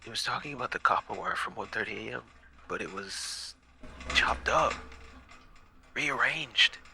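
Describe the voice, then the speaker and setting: Male actor as exhausted young watchman, horror audio drama. Deliberate pace, hard forceful edge, haunted and dramatic. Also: lowish voice, vocal fry, heavily acted. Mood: hushed, afraid. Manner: halting, dramatic, breaking into whispers. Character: exhausted, frightened young night watchman recording desperate log entries. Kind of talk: first-person horror audio log narration.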